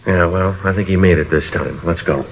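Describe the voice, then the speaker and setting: An actor with an American accent playing a terse, unflappable police sergeant in an old radio crime drama, clipped and deadpan, on a muffled vintage recording. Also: male voice, sits low, fairly quick. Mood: terse, firm.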